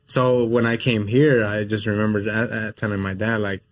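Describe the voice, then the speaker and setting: A man's voice: fairly low pitch, level tone, normal speed, slight background noise. A man born in Mexico, a guest on a talk show, chatting casually and rambling with many fillers. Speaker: guest, business owner. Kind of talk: casual chat.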